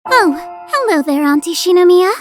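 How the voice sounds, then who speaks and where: Very high-pitched, brash anime schoolgirl. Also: animated, moderate pace, soft background music. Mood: haughty, exasperated. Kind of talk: anime fandub radio play acting.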